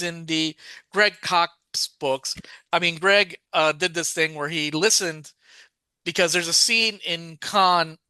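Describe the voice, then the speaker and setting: A man's voice: a little high, bright, forceful tone, clear, resonant tone, no room sound. A man co-hosting a podcast, chatting casually and rambling with lots of fillers and self-corrections. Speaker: podcast co-host. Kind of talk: casual chat about a TV show.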